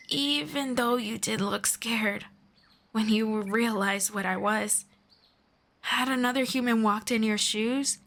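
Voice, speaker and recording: Girl doing a friendly elf lady for fantasy roleplay, kinda high voice, really slow n gentle, formal wording. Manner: measured, gentle, formal storybook phrasing. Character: friendly, curious female elf of the forest, gently earnest. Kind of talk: roleplay audio drama, speaking one-on-one to the listener.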